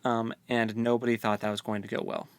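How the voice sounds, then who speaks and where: A man's voice: mid-range voice, little pitch movement, a little fast, clear, resonant tone. A man co-hosting a book club, retelling and discussing a story in casual, informal language.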